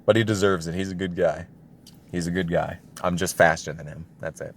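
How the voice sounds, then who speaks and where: A man's voice: low voice, steady tone, fairly quick, a little hiss or hum. Profane, self-deprecating young man ranting casually. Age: young adult. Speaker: storytelling video creator. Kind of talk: casual personal storytime rant.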